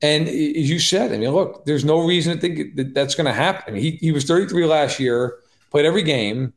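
A man giving quick, opinionated sports analysis as a podcast guest, with wry joking asides. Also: on the high side. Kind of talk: casual sports analysis chat. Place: live-streamed podcast.

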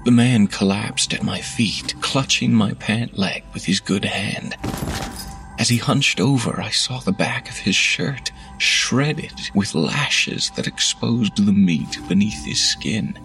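A male narrator with a low, gravelly voice reads a horror tale at an unhurried pace. His delivery is measured and hushed, sinking to whispers at tense moments. Soft music sits faintly under the narration. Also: rough recording.

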